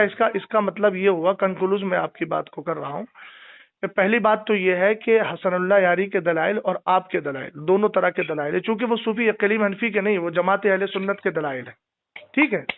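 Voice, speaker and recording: A man's voice: high-pitched, moderate pace, gravelly. A man debating religion over a phone call, insistent, questioning and often cutting in. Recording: tinny, band-limited phone call recording.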